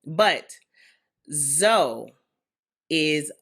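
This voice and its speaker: A woman's voice: sits low, animated, very slow, a bit bright, husky. A young woman, Haitian American, co-hosting a podcast in casual, joking chat. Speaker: podcast co-host.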